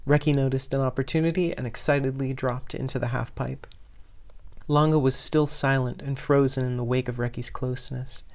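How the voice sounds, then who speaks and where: Expressive fiction narrator, middling pitch. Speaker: podfic reader.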